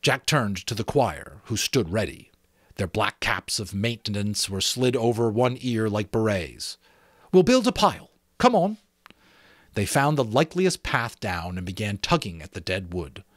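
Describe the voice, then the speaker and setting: Middle-aged male storyteller, amateur audiobook feel, expressive and varied in pitch, dry recording. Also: moderate pace, dry recording. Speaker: amateur narrator reading a novel aloud. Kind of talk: dramatic audiobook narration with asides to listeners.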